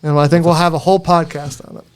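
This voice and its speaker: A man with a high voice hosts a casual group podcast. He teases and jokes in a giggly, sweary, informal way. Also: steady tone, quick pace, clean tone. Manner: giggly, profane, teasing. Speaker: podcast host.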